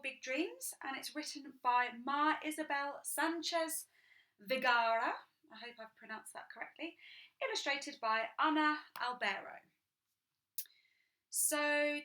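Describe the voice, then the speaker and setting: A woman reading a picture book aloud to children, sing-song and animated, dropping into whispers for little asides. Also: on the high side. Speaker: children's storyteller.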